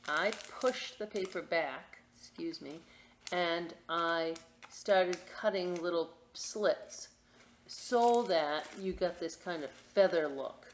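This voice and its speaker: A woman hosting a children's story time and craft demonstration, chatting to young listeners in a playful, expressive, informal way with asides. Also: on the low side, slow pace, a little hiss or hum. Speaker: library story time host reading picture books and showing a craft.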